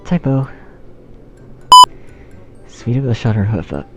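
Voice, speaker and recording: A man narrating fan fiction in outtakes, halting and restarting, swearing at his own slips in a self-deprecating way. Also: medium pitch, animated. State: shivering from cold. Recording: dull lo-fi sound, loud music. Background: loud music.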